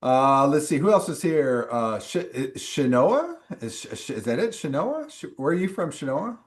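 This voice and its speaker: A man's voice: a little high, big pitch swings, normal speed. A male instructor chatting casually with students on a video call, rambling and full of ums and restarts. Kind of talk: casual chat with students in a live class.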